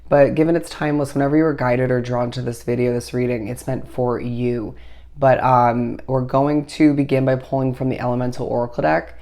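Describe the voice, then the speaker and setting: A tarot reader with a hoarse voice that is still recovering, giving a meandering, intuitive solo reading and speaking straight to the listener. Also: medium pitch, little pitch movement, a little fast, mellow. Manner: meandering, intuitive delivery full of "I do feel as if"; reassuring, addresses the listener directly.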